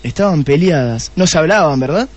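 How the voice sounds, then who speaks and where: Man acting high-voiced, probing Argentine police detective. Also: expressive. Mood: probing, insinuating. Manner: deliberate, repeats answers back pointedly. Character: shrewd male police detective, testy, methodical, probing. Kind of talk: Spanish-language radio play acting.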